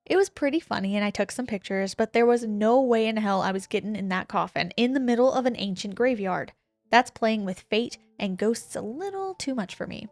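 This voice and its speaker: A woman with a fairly high voice reads a listener's ghost story aloud, leaning into it like an animated storyteller. The sound is close and dry, with faint music underneath. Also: brisk, no echo. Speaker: podcast co-host.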